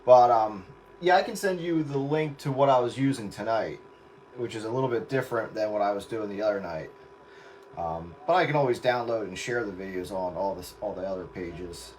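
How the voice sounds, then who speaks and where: A man's voice: on the low side, fairly quick, a little mellow, a little hiss or hum, rough recording. A man chatting casually and in a rambling, easygoing way as he hosts a stream from his radio set. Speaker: radio operator hosting a livestream.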